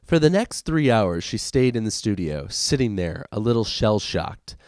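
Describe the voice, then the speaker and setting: A man's voice: fairly low pitch, big pitch swings, moderate pace, darkish tone, dry recording, studio quality. A man hosting a comedic show, reading aloud in an amateur, casual and irreverent way. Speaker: host of a comedic reading podcast.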